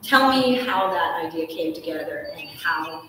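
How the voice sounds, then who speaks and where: A woman's voice: sits low, expressive, measured pace. A woman in her thirties hosting a live interview chat, talking in an enthusiastic, emphatic way with many casual "likes". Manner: enthusiastic, emphatic, uses "like" often. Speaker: host, interviewer. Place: large hotel room, indoors. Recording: echoey room, distant mic, faint noise.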